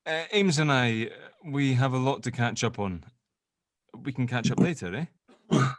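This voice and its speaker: A man's voice: medium pitch, animated, normal speed, no room sound, studio quality, close to an everyday voice. A man playing an affectionate, awkward long-lost father, teasing and flustered, in a drama table read.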